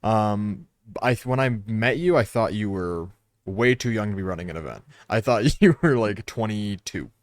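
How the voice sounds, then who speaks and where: A young man of eighteen talks casually among friends. His voice is low and sounds older than his age, recorded very dry, and he often stumbles back over his opening words. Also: animated, normal speed, no room sound. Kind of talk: casual chat.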